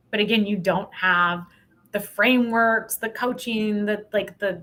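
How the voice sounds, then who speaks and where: A woman speaking candidly and emphatically as an interview guest, in an expressive conversational way. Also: mid-range voice. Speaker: podcast guest, sales leadership expert.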